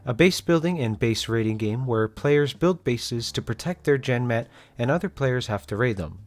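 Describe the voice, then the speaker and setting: A young man with a congested voice, narrating a scripted video essay on a game in a casual way, with fillers and restarts. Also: mid-range voice. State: congested after being sick. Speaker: gaming YouTuber.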